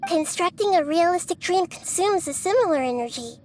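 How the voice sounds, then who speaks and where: Calm young goddess, high lively voice, measured explaining, game. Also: female voice, slight background noise. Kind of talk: video game voice acting.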